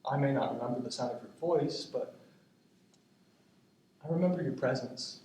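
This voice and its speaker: A man's voice: mid-range voice, moderate pace, warm tone, close to an everyday voice. A man acting a tender grandson in his twenties in a stage monologue, confiding in his departed grandmother in a reflective, hesitant, conversational way. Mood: nostalgic, tender. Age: 20s. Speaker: stage actor in a student play. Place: indoor stage, recorded from afar. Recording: echoey, distant stage recording with noise.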